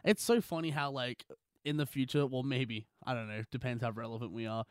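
A man's voice: medium pitch, fairly quick, clean tone, no echo, studio quality. A young man co-hosting a podcast, chatting casually in a joking, slangy, rambling way. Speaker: podcast co-host. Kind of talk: casual chat.

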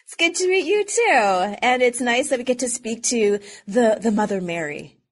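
A woman co-hosting a radio interview, friendly and quick to laugh. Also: fairly high pitch, animated. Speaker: radio co-host.

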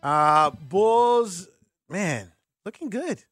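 A man's voice: high-pitched, expressive, drawn-out words, a bit bright, slightly rough, no room sound, studio quality, soft background music. A man hosting a sports radio show, talking conversationally as he interviews a guest.

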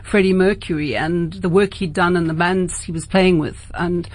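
A woman's voice: on the low side, fairly even intonation, moderate pace. A woman with a South African accent hosting a late-night radio interview in a conversational, rambling way, often circling back to rephrase.

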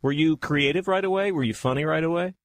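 A man hosting a casual interview, chatty and quick to laugh, asking long winding questions. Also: fairly high pitch, no echo. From Texas. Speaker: podcast host.

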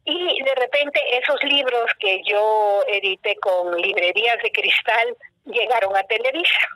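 Bright-voiced older woman telling stories. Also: on the high side, measured pace, dry recording, poor audio. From Guatemala. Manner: warm storytelling, animated, playful. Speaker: guest, children's writer. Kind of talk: casual interview.

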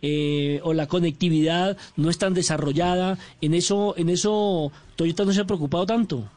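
A man's voice: sits high, fairly even intonation, normal speed, darkish tone. A man co-hosting a radio talk show, joking with the guest and asking direct questions.

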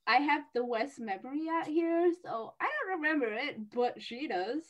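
A woman's voice: high voice, lively intonation, moderate pace, fairly bright tone, dull audio. A young woman hosting a student radio show, bubbly and laughing often; her first language is Tamil. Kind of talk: casual chat.

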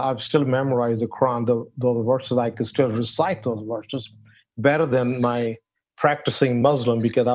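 Older Pakistani-born man, interview guest, muffled lo-fi sound, halting delivery. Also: medium pitch. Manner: halting, many fillers, non-native phrasing. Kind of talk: telling his life story in an interview. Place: at home, in a room. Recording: dull lo-fi sound.

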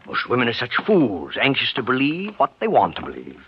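An actor playing a smug, chatty old serial killer in a 1940s radio horror drama, husky, musing and repeating his words with relish. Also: mid-range voice, huge pitch swings, fairly quick, a little hiss or hum. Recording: muffled vintage 1940s radio recording.

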